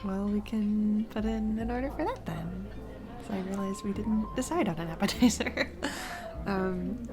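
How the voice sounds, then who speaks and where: A woman playing a flustered single mom on a blind date in a romantic audio roleplay, speaking haltingly with nervous giggles. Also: mid-range voice, natural voice. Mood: eager, chatty. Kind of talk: romantic audio roleplay, intimate conversational acting. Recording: music playing behind the voice. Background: music, restaurant ambiance.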